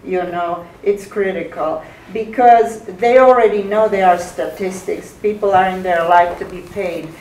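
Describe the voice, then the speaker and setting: A woman's voice: mid-range voice, a little slow, few pauses, a little mellow. A woman making an informal comment as an audience member. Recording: distant echoey room, faint noise.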